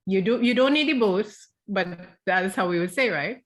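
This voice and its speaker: A woman's voice: mid-range voice, animated, moderate pace. Trinidadian woman explaining thoughtfully. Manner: thoughtful, explanatory, restarts phrases. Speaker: guest author. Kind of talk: casual book club chat.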